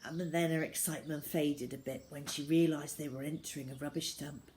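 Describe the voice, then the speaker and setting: A woman reading a children's novel aloud as an expressive storyteller. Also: low-pitched, a little fast, slight background noise.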